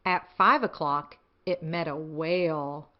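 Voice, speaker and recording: A woman's voice: low-pitched, slow pace, echoey room, dull audio. A woman hosting a children's storytime, reading aloud expressively and chatting enthusiastically with young listeners. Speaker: children's storytime host and reader.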